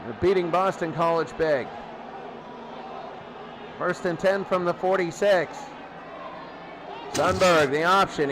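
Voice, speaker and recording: Male play-by-play announcer, high voice, excitable and informal, repeating short phrases over clearly audible background noise. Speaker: play-by-play commentator. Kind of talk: informal play-by-play football commentary. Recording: noisy, steady background rumble.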